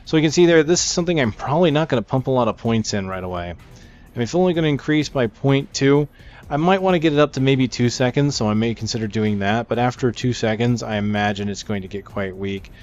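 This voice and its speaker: Low-voiced man casually commentating a game. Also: quick pace, a little mellow. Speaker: gaming video narrator. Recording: slightly dull mic, game music behind. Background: video game music.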